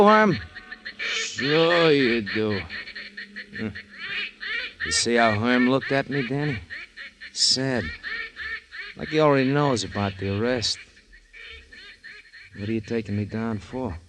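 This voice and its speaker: A man's voice: mid-range voice, very animated, slow, pauses often. An actor playing a cocky, sardonic gangster henchman in 1950s radio crime drama, slangy and teasing with mock-wounded wisecracks. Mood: teasing, mock-sad. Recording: noisy old radio recording, honking ducks.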